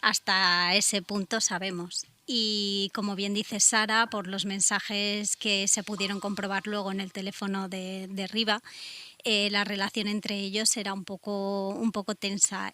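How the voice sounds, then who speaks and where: A woman's voice: mid-range voice, flat delivery, measured pace, pushed and bright, slight background noise. A woman with an accent from Spain, co-hosting a casual radio show. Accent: Spanish (Spain).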